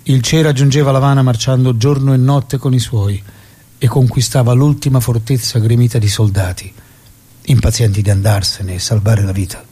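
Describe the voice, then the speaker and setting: Actor as solemn, declamatory chronicler of revolution. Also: male voice, mid-range voice, steady tone, normal speed, dark tone, husky, lightly acted. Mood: elegiac, epic. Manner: declamatory, elegiac. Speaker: narrator. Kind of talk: dramatic scripted narration.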